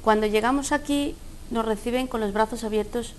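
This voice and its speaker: A woman's voice: fairly high pitch, fairly quick, slight background noise. Earnest Ecuadorian woman on a panel. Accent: Ecuadorian Spanish. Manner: earnest, speaks from personal experience. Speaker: panel guest. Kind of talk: studio debate.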